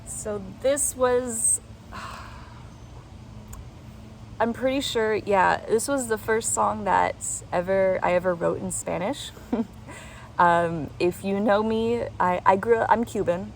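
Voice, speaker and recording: A woman hosting her own channel, talking softly and reflectively in a casual way. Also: medium pitch, slow, vocal fry, pauses often, a little hiss or hum, poor audio. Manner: soft-spoken, reflective. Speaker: host.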